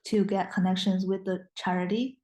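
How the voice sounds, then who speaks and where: A woman's voice: medium pitch, level tone, normal speed, a little mellow. Hesitant young woman, Mandarin native speaker. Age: young adult. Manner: hesitant, many restarts and fillers. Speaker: guest. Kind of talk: casual interview.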